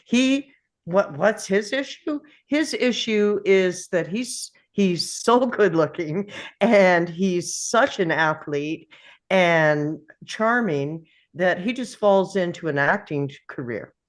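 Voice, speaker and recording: A woman with a low voice shares her view in a casual group discussion. She speaks slowly and thoughtfully, pausing as she looks for the right words. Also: lively intonation.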